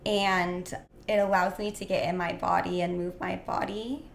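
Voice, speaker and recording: A young woman in casual interview conversation, dreamy and full of "like" fillers, slightly hoarse. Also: lowish voice, flat delivery, moderate pace. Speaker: guest. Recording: echoey room with faint noise.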